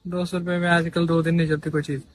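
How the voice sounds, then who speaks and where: A man's voice: a little high, level tone, fast talker. A man taking part in a casual quiz, giving short conversational answers and questioning back. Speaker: quiz contestant answering riddles.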